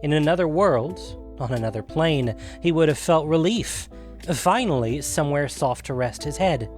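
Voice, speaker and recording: A man reading scripted fantasy fiction as a narrator, in a measured, dramatic storytelling manner. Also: mid-range voice, a little mellow.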